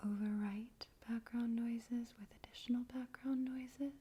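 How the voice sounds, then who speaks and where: A woman speaking in a soft, breathy whisper, gently and carefully, in an ASMR style for poetry reading. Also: on the high side. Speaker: ASMR host and poetry reader. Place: room in a home in daytime.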